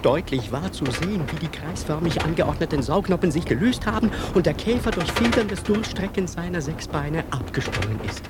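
An actor playing an excited male asylum patient eagerly commenting as he watches a beetle jump, in a radio play. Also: fairly high pitch, normal speed, few pauses, very noisy, close to an everyday voice.

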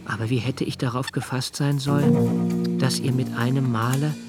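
An actor playing an introspective, haunted man who recalls his childhood in a literary radio play, with measured, precise phrasing and deliberate pauses. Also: medium pitch, fairly even intonation, over loud music, natural voice. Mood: absorbed, uneasy. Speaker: radio drama narrator. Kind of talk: literary first-person radio play narration.

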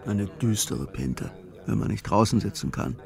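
A man narrating a literary audio drama in measured, clipped, matter-of-fact phrasing. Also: on the low side, animated, creaky, crowd noise. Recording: music behind the voice. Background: music.

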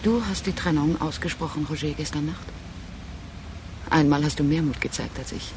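A woman's voice: sits low, moderate pace, noisy background. An actress in a radio drama playing a proud, reproachful woman leaving her partner, insistent and speaking in clipped, accusing phrases. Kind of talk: restrained radio drama dialogue.

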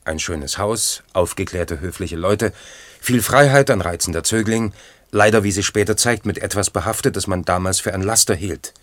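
A man acting a sober radio play commentator with a low voice and a very dry, close sound. He recounts things in a measured, matter-of-fact manner. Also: dry recording, lightly acted. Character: sober male commentator recounting a poet's life. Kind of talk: literary radio play narration.

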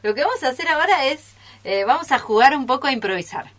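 Live radio theatre host: Argentine woman, bright forward tone, playful and loose delivery. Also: sits high, expressive, fast talker. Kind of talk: casual live presenting. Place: radio studio.

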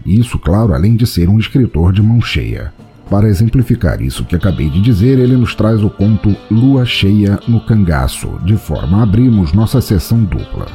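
A man's voice: fairly low pitch, sing-song, normal speed, dark tone, faint music behind. A Brazilian man hosting and narrating a podcast from a script, with a wry, theatrical manner.

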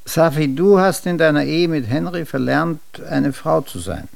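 Earnest suitor, gravelly creak, dry close sound, tentative, hesitant, radio drama. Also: male voice, on the high side, lively intonation, moderate pace, darkish tone, no echo. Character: earnest, tentative male suitor and family friend. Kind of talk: German radio drama acting.

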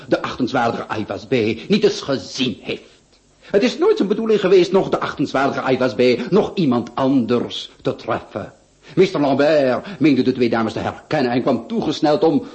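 Actor as pompous, swooping aristocratic marquis. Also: male voice, mid-range voice, a little mellow, lightly acted. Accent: Flemish. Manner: pompous, florid, oratorical. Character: pompous, eloquent aristocratic marquis, duelling second. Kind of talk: Flemish radio play acting. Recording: dull lo-fi radio recording.

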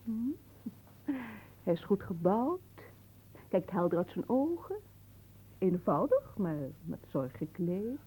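An actress playing a vain, capricious marquise in a radio play, with an affected, cooing and haughty manner. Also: female voice, on the low side, sing-song, slow pace, pauses often, dark tone, breathy edge, full character voice, rough recording. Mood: haughty, curious. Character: vain, capricious marquise doting on her caged songbird. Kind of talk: theatrical radio play acting.

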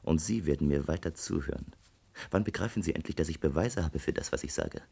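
An actor playing a bitter, gifted young doctoral student in a German radio play, sarcastic and accusing, with deliberate delivery. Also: male voice, rumbling low, creaky, mellow, breathy edge, exaggerated voice. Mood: coldly triumphant.

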